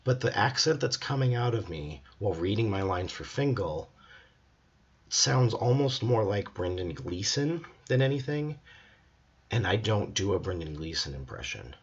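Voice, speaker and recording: A hoarse young man, a drama student, talking earnestly and reflectively in a personal video journal. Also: on the low side, very animated, moderate pace, muffled recording. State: hoarse, voice hard to hear.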